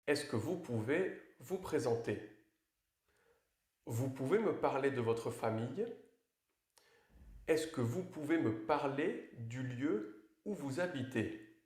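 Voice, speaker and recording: Slow, roomy male tutorial narrator. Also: medium pitch, lively intonation, a little mellow. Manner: clear, instructive. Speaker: online French course presenter. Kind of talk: scripted exam tutorial narration.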